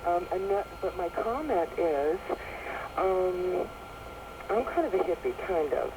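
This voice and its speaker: A man's voice: high-pitched, animated, a little slow. A man calling in to a radio show, eager and chatty, explaining at length. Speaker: caller. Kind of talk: call-in radio chat. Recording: caller heard over shortwave radio with heavy static.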